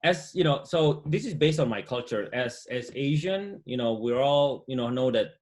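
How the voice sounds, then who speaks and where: A man, chatting as an interview guest over a video call, relaxed and full of "you know" fillers. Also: mid-range voice, normal speed. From Indonesia. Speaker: guest entrepreneur. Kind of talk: casual interview chat. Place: home room, indoors. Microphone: video call webcam.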